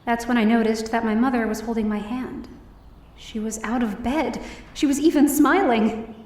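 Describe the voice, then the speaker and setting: A woman's voice: a little high, steady tone, moderate pace, a little mellow, echoey room, distant mic, lightly acted. A woman playing an emotional young woman who narrates her own story in an audio drama. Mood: urgent narration. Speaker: first-person narrator and lead.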